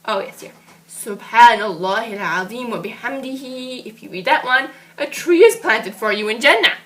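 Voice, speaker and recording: A woman voicing a boastful, excitable young girl in a children's puppet show, bossy and quick to get weepy. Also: a little high, low-quality recording.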